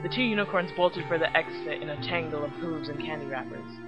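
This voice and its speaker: A woman's voice: deep voice, fairly quick. A woman hosting a web series, reviewing casually and narrating a story. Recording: muffled lo-fi with music behind. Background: background music.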